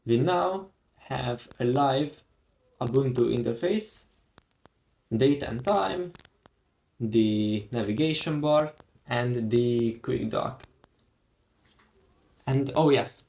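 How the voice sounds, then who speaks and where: A young man whose first language is Hungarian, casually thinking aloud with pauses and fillers as he walks through a computer setup. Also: medium pitch. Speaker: tech vlogger. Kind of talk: casual screen-recorded walkthrough.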